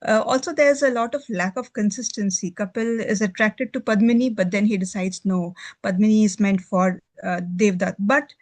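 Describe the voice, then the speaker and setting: A speaker offers thoughts in a book discussion, in a hesitant, halting manner. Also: mid-range voice, no echo. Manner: hesitant, halting, frequent repetitions. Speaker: book club discussion participant. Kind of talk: book discussion, improvised commentary.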